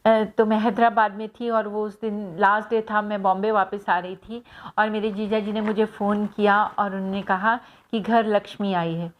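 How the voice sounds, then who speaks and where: A middle-aged woman from Punjab, an actress answering fan questions in a casual, reflective way with many pauses and fillers. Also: medium pitch, flat delivery, lo-fi sound. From Hoshiarpur, Punjab, India. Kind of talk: casual personal talk to camera.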